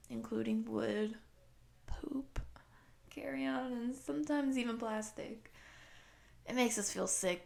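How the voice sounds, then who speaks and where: A woman's voice: fairly high pitch, vocal fry. A woman voicing a goofy young female slime creature who chats casually and directly to the listener in an audio roleplay. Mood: matter-of-fact, playful. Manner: casual slangy chatter with giggles.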